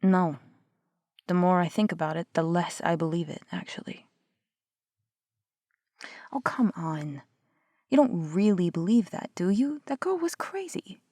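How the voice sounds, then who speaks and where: A woman's voice: on the low side, lively intonation, unhurried, pauses often, mellow, dry recording, clean studio sound. A young woman performing a one-sided audio roleplay as a skeptical investigator, arguing and sarcastic, sometimes dropping to a whisper. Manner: skeptical, argumentative, sarcastic, swears casually.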